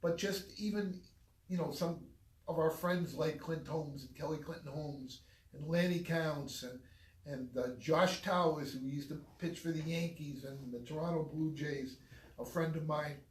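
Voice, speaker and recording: Guy with a cold cohosting, slightly higher voice, sits back from the mic in an echoey room. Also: measured pace, far from the mic. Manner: loose, rambling talk. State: congested from a cold. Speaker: podcast co-host. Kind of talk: casual chat. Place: small studio.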